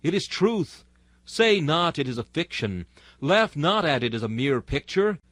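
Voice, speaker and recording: A man's voice: a little high, sing-song, measured pace, a bit bright, no room sound. A man reading a sermon in a solemn, exhorting, oratorical manner. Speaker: narrator reading a historical sermon.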